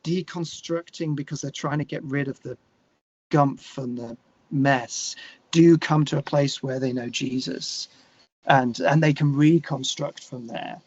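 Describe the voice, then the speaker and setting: A man with an English accent talking candidly and reflectively as an interview guest, searching for his words in a halting way. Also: medium pitch, fairly even intonation, darkish tone, lo-fi sound.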